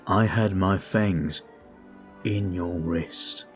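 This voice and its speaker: A man voicing a brooding male vampire in an ASMR roleplay, talking in a slow, intimate, confiding way. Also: low voice, soft background music.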